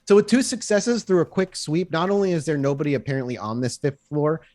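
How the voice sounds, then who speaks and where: A man's voice: fairly high pitch, brisk, darkish tone. A man running a tabletop game, improvising scene descriptions in a conversational, descriptive way. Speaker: game master.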